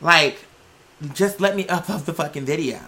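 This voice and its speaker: A woman's voice: deep voice, moderate pace, pushed and bright, a little hiss or hum. A woman hosting a video, giving casual, blunt commentary to viewers with plenty of swearing and slang. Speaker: video host.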